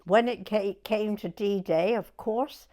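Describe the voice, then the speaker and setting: A woman in her nineties with a southern English accent, reminiscing in an interview in a halting way, pausing to recollect. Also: medium pitch, mellow. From southern England. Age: 90s. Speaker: oral history interviewee.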